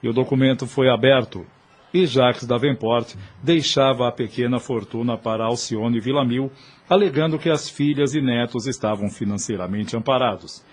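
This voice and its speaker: A man's voice: mid-range voice, a little mellow. A man narrating a scripted religious audio drama in a formal, literary, solemn manner.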